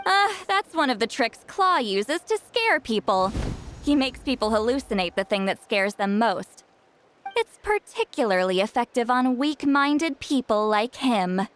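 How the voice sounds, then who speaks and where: A woman's voice: fairly high pitch, sing-song, unhurried, a bit bright, slight background noise. A woman playing an aloof, self-assured, slightly prickly young shaman in a video game, with a dry, offhand delivery. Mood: smug, explaining.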